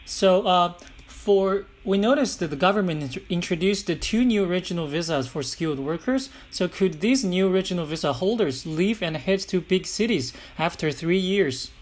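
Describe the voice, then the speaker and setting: A man with a fairly high-pitched voice acts as an interviewer. He puts formal, prepared questions in a polite and somewhat halting manner. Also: expressive.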